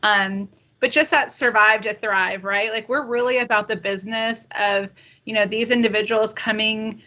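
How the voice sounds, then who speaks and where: A woman's voice: fairly high pitch, steady tone, normal speed, pushed and bright, muffled recording. A woman who works as a corrections official making conversational, affirming remarks in a meeting, often adding tag questions.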